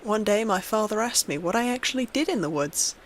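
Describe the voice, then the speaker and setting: A woman's voice: mid-range voice, lively intonation, a little fast, a little hiss or hum. A woman reading a scripted horror story aloud as its narrator.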